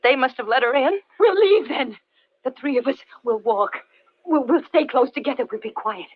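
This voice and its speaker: A woman's voice: high-pitched, expressive, moderate pace. An old woman, a suspicious stranger in a vintage radio suspense drama. She sounds defiant, accusing and menacing. The old recording is muffled. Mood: accusing, wary. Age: older. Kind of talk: 1940s radio thriller acting.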